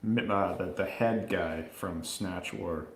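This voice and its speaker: A man's voice: low-pitched, slow pace, gravelly. A man bantering casually as co-host of a film review show, with a wry, jokey manner. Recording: roomy, echoing sound.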